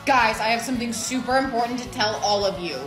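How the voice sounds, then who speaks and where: A woman's voice: on the high side, fast talker, a bit bright, natural voice. A young woman playing an envious, defensive teenage schoolgirl in a scripted comedy skit. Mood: eager. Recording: roomy sound with faint music behind.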